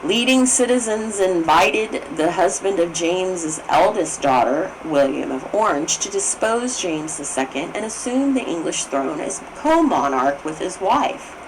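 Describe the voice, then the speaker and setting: A woman with a fairly low voice reads a formal text aloud. Her intonation moves around a lot, and her careful delivery has small stumbles and corrections. Some background noise sits behind her. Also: animated, a little slow, few pauses, low-quality recording. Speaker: reader of a book on constitutional history. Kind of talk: read-aloud scripted narration of a formal text.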